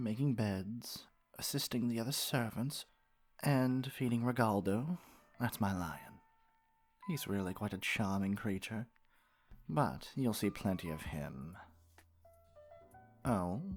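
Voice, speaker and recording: A man voicing a haughty, domineering lamia noble in a fantasy roleplay, with a pompous, drawn-out, patronizing manner. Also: fairly low pitch, darkish tone. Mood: mock-sympathetic. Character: haughty male lamia noble, wealthy retired merchant, condescending and domineering. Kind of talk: scripted ASMR fantasy roleplay.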